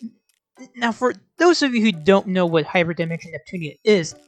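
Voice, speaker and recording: A woman's voice: medium pitch, expressive, normal speed, dry recording, soft background music. A woman hosting a video essay, giving casual scripted commentary with wry, sarcastic asides and informal, sweary phrasing.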